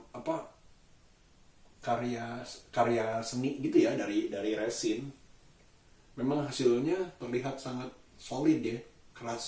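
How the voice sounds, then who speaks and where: A man speaks casually, as in a daily vlog. His delivery is slow and halting, often pausing to find the next word. The sound is echoey and picked up at some distance from the mic. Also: medium pitch, mellow. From Indonesia. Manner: halting, thoughtful, searching for words. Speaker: vlogger. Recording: roomy echo, distant mic.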